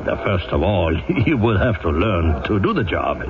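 An actor playing a lecherous, oily factory boss in old-time radio style, hesitant and insinuating, with little chuckles. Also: male voice, low voice, sing-song, normal speed, background noise. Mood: oily, coaxing. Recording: muffled old radio drama, loud music behind.

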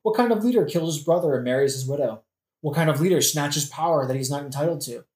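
A woman's voice: low-pitched, fast talker, darkish tone, close to an everyday voice. Roomy echo, woman acting as sardonic young prince, theatrical, melodramatic, comedic audio play.

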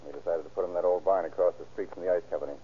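An actor playing a cautious, protective police detective in a 1930s radio drama, clipped and businesslike. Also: male voice, low voice, fairly even intonation, very fast, breathy edge. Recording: tinny, band-limited 1930s radio recording.

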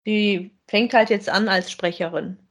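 A woman speaks as co-host of a podcast. Her pitch is average for a woman, and her style is casual and conversational. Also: steady tone, a little slow.

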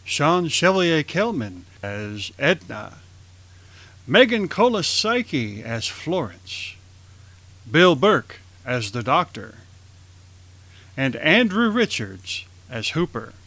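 A man's voice: on the high side, sing-song, slow, sharp tone, no room sound. A man announcing as the host of a radio drama show, reading credits and sign-offs.

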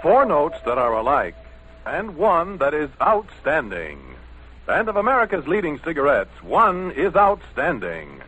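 A man's voice: a little high, huge pitch swings, a little slow, noisy background. A man announcing in dramatic, declamatory 1940s radio style, on a dull old broadcast recording.

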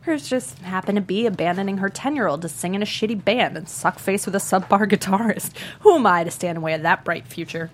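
A woman's voice: mid-range voice, fast talker, natural voice. A woman playing a sulky, sarcastic teenage girl with dry, biting delivery in a script table read. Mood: bitter sarcasm.

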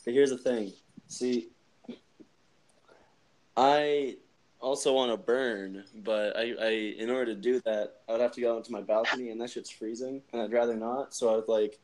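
Young man, casual phone chat, rambling, filler-heavy, mid pitch, speakerphone. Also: steady tone, moderate pace, darkish tone, rough recording. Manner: rambling, lots of fillers, laughs easily. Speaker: podcast co-host. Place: home, at night.

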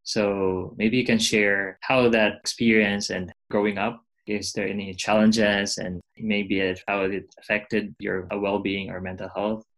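Low gravelly man moderating a panel. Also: little pitch movement, a little slow, few pauses, fairly bright tone, rough recording. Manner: polite, hesitant, with thanks and follow-up questions.

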